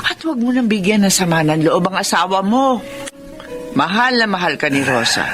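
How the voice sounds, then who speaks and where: A woman's voice: medium pitch, lightly acted. A Filipino woman playing an older, caring mother who chides and advises her grown son in radio drama style. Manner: chiding, advising. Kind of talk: emotional radio drama acting.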